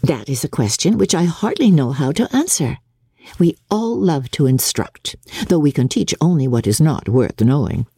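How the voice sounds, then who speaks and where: A woman voicing a witty young gentlewoman, arch, playful and articulate, in a dramatic storybook reading. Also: low-pitched, sing-song, moderate pace, breathy edge, dry recording, studio quality, full character voice. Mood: playful teasing. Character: witty, spirited young gentlewoman, teasing and questioning.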